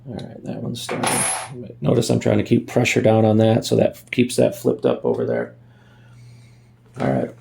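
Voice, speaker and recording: A man's voice: mid-range voice, big pitch swings, moderate pace, creaky, a little mellow, slight background noise. A man giving a casual hands-on tutorial in a folksy way, thinking aloud as he works, recorded on a phone. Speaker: amateur DIY video maker.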